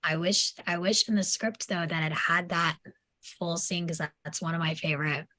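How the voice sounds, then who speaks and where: A woman's voice: lowish voice, fairly even intonation, normal speed, pushed and bright, no echo. A woman taking part in a casual film discussion, speaking in a hesitant, thoughtful way. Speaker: discussion participant.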